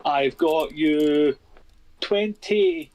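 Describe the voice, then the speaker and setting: A man with a Scottish accent chatting easily as a guest on a stream, reading out a list with pauses. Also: a little high, darkish tone. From Scotland. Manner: easygoing, reads out a list with pauses. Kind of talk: casual chat.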